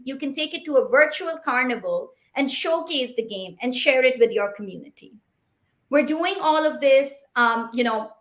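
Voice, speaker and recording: A woman in her thirties from Pakistan, Pashto her first language, delivering an upbeat, rehearsed startup pitch with rhetorical questions. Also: a bit bright.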